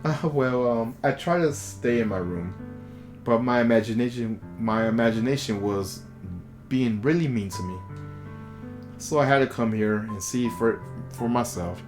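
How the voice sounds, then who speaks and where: Man reading as a fragile lovesick schoolgirl, slow and halting. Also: mid-range voice, darkish tone, soft background music, close to an everyday voice. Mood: shy, hurt. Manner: halting, pleading. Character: fragile, lovesick, self-blaming teenage schoolgirl. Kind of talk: visual novel character reading.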